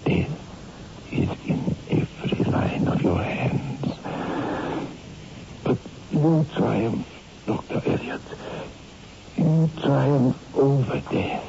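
A man acting a jealous, grave palm reader in a 1960s radio horror drama, speaking solemnly with dramatic pauses. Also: mid-range voice, expressive, gravelly, mellow, slight background noise, full character voice. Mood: solemn, exalted. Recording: muffled old radio recording with music.

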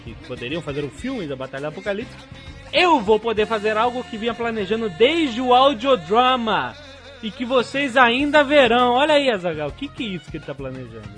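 High-pitched, animated Brazilian man podcasting. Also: brisk, faint music behind. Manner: jokey, exclamatory, prone to laughing. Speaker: podcast co-host. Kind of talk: casual comedic podcast chat and listener email reading.

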